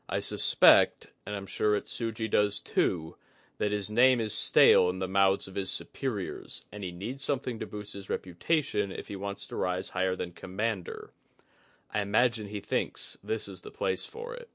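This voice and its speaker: A man voicing an earnest, worried officer with measured, formal phrasing in a fantasy audiobook. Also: on the low side, fairly bright tone, no room sound.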